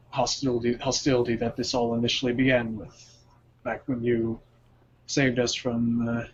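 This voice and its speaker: A man playing a tabletop game, talking in a halting, rambling way with many restarts. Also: medium pitch, vocal fry, dull audio, a little hiss or hum. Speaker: player. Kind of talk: casual tabletop game chat.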